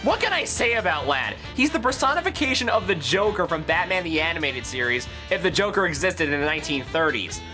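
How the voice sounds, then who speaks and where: A man's voice: fairly high pitch, sing-song, fairly quick, few pauses, over music. A man delivering scripted review commentary with opinionated, sarcastic asides. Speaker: video reviewer.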